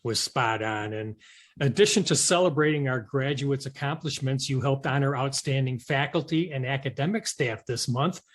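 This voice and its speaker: A man's voice: medium pitch, normal speed. A man hosting an interview show, reading prepared introductions and questions in a radio style.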